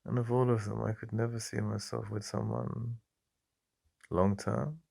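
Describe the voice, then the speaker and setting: Soft, intimate English boyfriend voice, very low, heavy fry, halting delivery. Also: male voice, little pitch movement. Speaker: ASMR roleplay host. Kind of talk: boyfriend ASMR roleplay.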